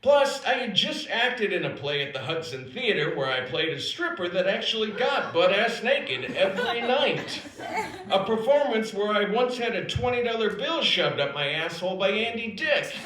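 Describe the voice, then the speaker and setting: An American man performing a scripted comedic story on stage with theatrical, deliberate pauses and emphatic delivery. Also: high voice, big pitch swings, sharp tone. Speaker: storyteller performing own written piece. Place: theater stage before an audience. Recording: roomy live stage recording. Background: audience laughter.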